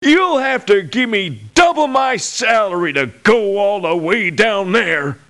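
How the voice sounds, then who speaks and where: A cantankerous old American soldier of fortune for a video game. His voice is high and slow, swooping in a folksy, scolding way at the young. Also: lively intonation, pushed and bright, exaggerated voice. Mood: crotchety. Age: older. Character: crotchety old male veteran mercenary, scornful of youngsters. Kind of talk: video game character voice lines.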